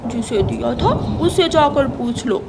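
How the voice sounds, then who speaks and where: A woman's voice: a little high, expressive, unhurried, vocal fry, very noisy. A woman voicing a sly, brash young boy crow in a children's cartoon story. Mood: defiant. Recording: loud rain and thunder behind.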